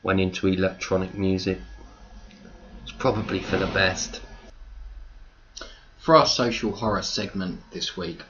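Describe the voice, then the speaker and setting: A man's voice: low-pitched, steady tone, a little slow, pauses often, fairly bright tone. A man hosting a podcast with casual, chatty, wry commentary. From United Kingdom. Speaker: host, magazine editor-in-chief. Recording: dull lo-fi home recording, faint noise.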